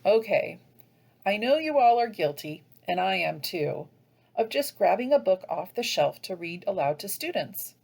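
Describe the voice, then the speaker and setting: American woman presenting with very lively pitch. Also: moderate pace, creaky, husky. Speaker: teacher trainer, presenter. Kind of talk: scripted instructional presentation narration.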